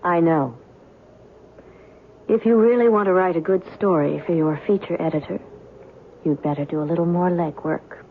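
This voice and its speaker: A woman's voice: fairly low pitch, moderate pace, pauses often, lightly acted. An actress playing a mysterious, aloof woman with a cool, curt, knowing manner in an old-time radio drama. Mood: curt, dismissive. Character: mysterious, aloof stranger calling herself a reporter, coolly knowing. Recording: tinny, band-limited old radio recording, faint noise.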